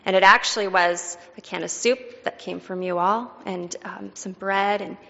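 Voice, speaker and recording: A woman guest speaker from a charity with a squeaky voice, speaking gratefully and casually to a congregation. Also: steady tone, normal speed, vocal fry. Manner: grateful, warm-hearted, casual with fillers. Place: church. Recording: dull sound with long church reverb.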